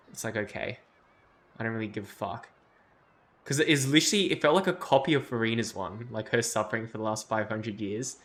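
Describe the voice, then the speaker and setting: A man's voice: fairly low pitch, fairly bright tone. A man streaming live game commentary, blunt and sarcastic, with game music behind.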